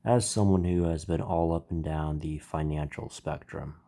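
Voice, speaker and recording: A man hosting his own channel, speaking with a stuffy, slightly nasal voice in a slow, reflective spiritual monologue. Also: very deep voice, steady tone, gravelly, mellow. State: stuffy, congested. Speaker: channel host.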